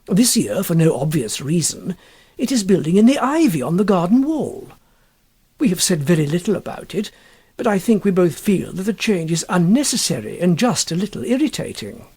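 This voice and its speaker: A man voicing a prim, fretful, middle-aged gentleman in a storybook reading, speaking with fussy precision. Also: high-pitched, big pitch swings, moderate pace, slightly rough, full character voice. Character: fussy, staid, easily upset middle-aged English gentleman.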